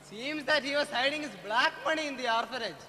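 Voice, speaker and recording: A man's voice: extremely high pitch, brisk, bright, forceful tone, exaggerated voice. A man with an Indian accent playing a gossipy, opinionated street bhaji seller in a stage comedy-drama. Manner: chatty, opinionated, indignant. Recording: echoey hall, stage play.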